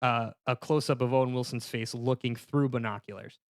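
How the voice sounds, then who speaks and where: Man narrating a comic pitch, dry recording. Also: fairly even intonation, fairly quick, dry recording. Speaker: podcast panelist pitching a film. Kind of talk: comic scene-by-scene narration, casual.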